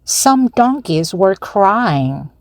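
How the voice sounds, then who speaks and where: Woman narrator, very slow and deliberate, clear storybook reading, dry sound. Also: medium pitch, sing-song, darkish tone, no echo. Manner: slow, careful, evenly paced reading. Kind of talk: slow read-aloud for language learners.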